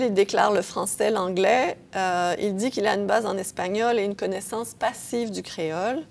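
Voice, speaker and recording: A woman's voice: medium pitch, gravelly, darkish tone. A woman professor giving an academic lecture, explaining patiently with frequent hesitations and checks of her audience. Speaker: linguistics professor.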